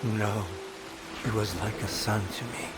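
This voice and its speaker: A man playing a wise, melancholy music master in a video game, speaking in a measured, poetic, earnest way. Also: lowish voice, little pitch movement, lightly acted. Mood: sorrowful. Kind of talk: dramatic video game cutscene acting.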